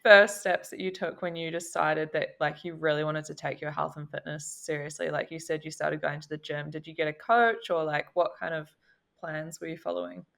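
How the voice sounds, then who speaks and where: A woman's voice: sits low, fairly even intonation, normal speed, creaky, fairly bright tone. A woman with a New Zealand accent hosting a casual podcast interview in an enthusiastic, supportive way. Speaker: podcast host.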